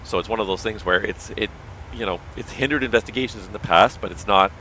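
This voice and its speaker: A man's voice: on the low side, quick pace, pushed and bright, slight background noise, low-quality recording. A man with a strained, tiring voice, a pilot streaming a livestream and explaining things at length with firm opinions. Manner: explanatory, opinionated, talks in long running thoughts. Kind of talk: casual livestream commentary.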